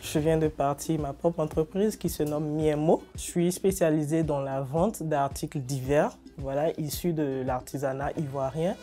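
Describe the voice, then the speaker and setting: A woman from Ivory Coast who runs her own business, speaking in a measured way in an interview. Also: sits low, mellow, soft background music. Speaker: business owner. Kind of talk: interview testimony.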